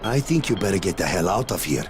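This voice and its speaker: A man playing a gruff, suspicious smuggler in a video game, curt and street-tough in manner. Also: deep voice, expressive, fast, noisy background. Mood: hostile, suspicious. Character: gruff, suspicious male smuggler guarding a secret route. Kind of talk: video game cutscene acting.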